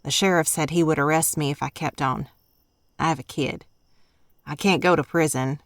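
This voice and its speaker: A woman voicing a caring, worried Southern mother who speaks in a low, earnest drawl with a Southern American accent. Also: steady tone, normal speed, no echo, clean studio sound. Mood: worried, pleading.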